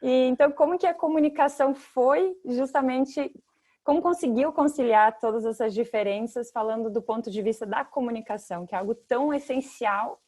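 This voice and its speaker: A woman's voice: a little high, normal speed, mellow. A woman interviewer asking long, winding questions and giving short murmurs of agreement. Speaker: interviewer, host.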